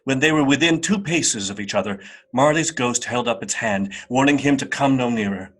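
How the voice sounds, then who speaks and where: A man narrating a radio play as a scripted, dramatic storyteller, with a slightly husky voice. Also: mid-range voice, moderate pace, a bit bright.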